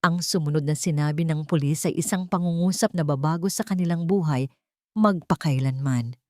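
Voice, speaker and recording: A woman's voice: low voice, moderate pace, dark tone, no room sound, clean studio sound. A woman with a Filipino accent giving a dramatic scripted narration of a story.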